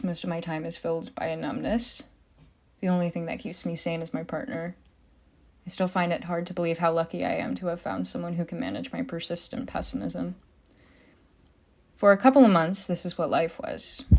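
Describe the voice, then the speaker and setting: A woman's voice: on the low side, a little mellow. A woman playing a pessimistic, world-weary private detective in an audio drama, narrating and speaking in a dry, wry manner.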